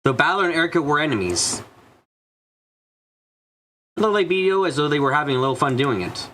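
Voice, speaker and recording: A man's voice: a little high, sing-song, fairly quick, bright, forceful tone. A man narrating a mystery visual novel from a script as a fan dubber.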